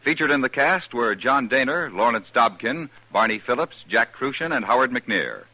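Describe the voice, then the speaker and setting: Man with a lively old-time radio announcer voice. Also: medium pitch, moderate pace, no echo. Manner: formal, declamatory announcer delivery. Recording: dull vintage radio broadcast with music.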